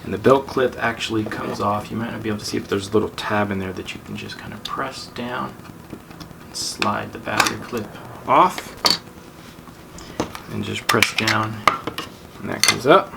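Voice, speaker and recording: A man's voice: lowish voice, fairly even intonation, normal speed, long pauses. A man hosting a casual unboxing review, talking informally and thinking aloud as he handles things. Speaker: gadget review channel host. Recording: distant mic, clearly noisy, handling crinkle.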